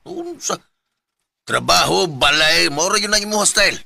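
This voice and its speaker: A man's voice: high voice, wildly swooping pitch, normal speed, creaky, pushed and bright, full character voice. A Filipino man with a Cebuano accent acting a teasing, pushy best buddy who banters and nags in a Bisaya radio drama.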